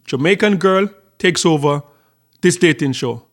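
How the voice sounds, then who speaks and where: A man commenting on a dating show clip for his reaction video in a calm, explaining way. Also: a little high, measured pace. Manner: calm, explaining step by step, some ums.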